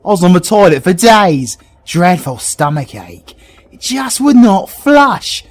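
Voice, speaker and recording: Foul-mouthed, sarcastic British villain as a grumpy Scrooge, acted by a man; theatrical ranting, very animated pitch, comic audio drama. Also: measured pace. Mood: cheeky, sarcastic. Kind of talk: comedic audio roleplay acting.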